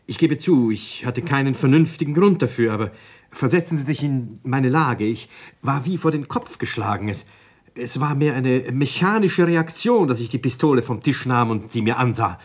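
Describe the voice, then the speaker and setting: A man playing a troubled doctor and evasive suspect in a German crime radio drama, speaking in a hesitant, guarded way with a husky voice. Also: medium pitch, animated, normal speed. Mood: subdued, recounting.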